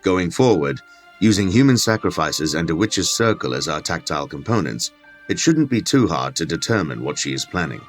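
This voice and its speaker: A man's voice: sits low, big pitch swings, normal speed, soft background music. An actor playing a learned, pedantic clergyman scholar in an audio drama, precise and dryly sardonic. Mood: absorbed, explanatory. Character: learned, condescending male occult scholar, clergyman. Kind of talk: dramatic audio fiction acting.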